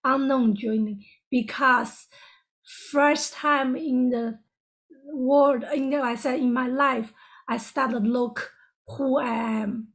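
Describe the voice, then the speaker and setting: Halting high-voiced woman with a gravelly edge. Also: fairly even intonation. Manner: halting, searching for words, broken grammar. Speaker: guest. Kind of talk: personal story in an interview.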